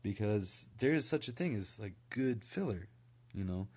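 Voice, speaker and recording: A young man with a somewhat low voice, a little scratchy from being sick, rambles through a late-night stream. He talks quietly, stacking "like" and restarting sentences as his thoughts wander. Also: animated, moderate pace, husky. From Idaho, USA. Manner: rambling, many "like" fillers and restarts; speaking quieter than usual. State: slightly scratchy from recent illness. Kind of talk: casual late-night livestream chat.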